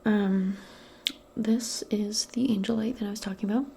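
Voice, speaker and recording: A woman doing a soft-spoken ASMR roleplay, mostly in a breathy whisper, with a gentle, reassuring and hesitant way of talking. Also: medium pitch, steady tone, normal speed, slight background noise.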